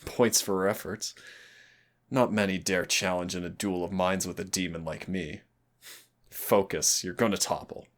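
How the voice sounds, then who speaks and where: A man playing a proud, teasing demon of royal blood in an audio roleplay, with a gravelly, husky, intimate and theatrical delivery. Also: steady tone, unhurried. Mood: playful, taunting. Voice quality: gravelly, husky, breathy low voice. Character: teasing male demon roommate, winged, proud royal, protective and wounded. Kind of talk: audio roleplay acting addressed to the listener.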